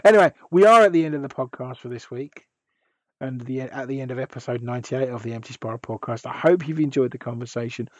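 A man hosts a casual music podcast, his voice husky and hoarse from a sore throat. He rambles and restarts his sentences. The sound is close, dry and a little dull. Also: medium pitch, quick pace, dry recording. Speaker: podcast host.

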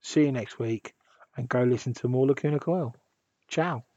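A man hosting a music podcast, hoarse and husky from a sore throat, rambling with restarts. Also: mid-range voice, moderate pace, warm tone, dry recording, highs cut off. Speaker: podcast host. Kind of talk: casual podcast chat.

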